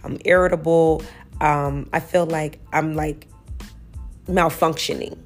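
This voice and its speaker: Low raspy woman in her 30s, morning voice, chatty podcast rambling w lots of you know and like. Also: fairly even intonation, slow pace, faint music behind. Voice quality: a little raspy. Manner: chatty and self-reflective, full of "you know" and "like". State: early-morning voice. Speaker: podcast host. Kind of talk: casual solo podcast talk.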